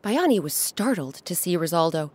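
Woman narrator, children's storytelling, low-pitched, very lively intonation, dramatic pauses, music behind. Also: breathy edge, no room sound. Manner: expressive, dramatic pauses, addresses young listeners. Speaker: host and narrator.

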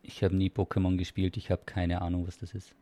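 A woman's voice: very deep voice, completely flat delivery, brisk, mellow. A woman co-hosting a podcast, talking casually and wryly in short asides. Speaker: podcast co-host.

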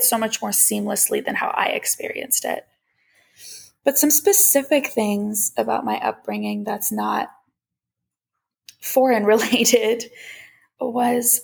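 Young woman podcasting off the cuff, tons of vocal fry, kinda husky, candid and chatty. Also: fairly high pitch. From Iran. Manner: candid, reflective, conversational. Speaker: podcast host. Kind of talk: casual personal monologue.

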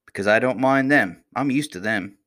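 A man's voice: mid-range voice, normal speed, fairly bright tone, pitched above the performer's own voice, lightly acted. A man voicing a cheerful, fearless twelve-year-old miner boy in a storybook, plain-spoken and self-assured. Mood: matter-of-fact, bold.

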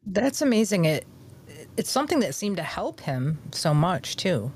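Very low-pitched woman chatting casually. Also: big pitch swings, moderate pace, a little mellow, husky. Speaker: talk show co-host. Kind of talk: casual chat.

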